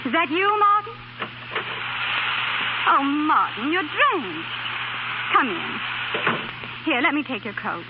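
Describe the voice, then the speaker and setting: A woman's voice: high-pitched, big pitch swings, slow pace, pauses often, heavily acted. A woman acting a plucky, devoted young sweetheart in a 1930s radio drama, folksy, affectionate and plain-spoken. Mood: concerned. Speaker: radio actress. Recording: muffled, hissy 1930s radio broadcast.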